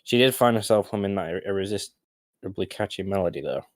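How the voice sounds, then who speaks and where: Guy with lowish voice reading fan fiction, dry sound, kinda halting, fixes his stumbles. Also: animated, no echo. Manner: halting, often stumbles and corrects himself. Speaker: narrator of fan fiction storytime. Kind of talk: amateur story narration with casual asides.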